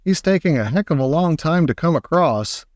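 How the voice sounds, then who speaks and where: A man's voice: fairly high pitch, animated, normal speed, no room sound, clean studio sound, close to an everyday voice. A man voicing a blunt, exasperated father in a children's storybook, speaking sharply. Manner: sharp, shouting.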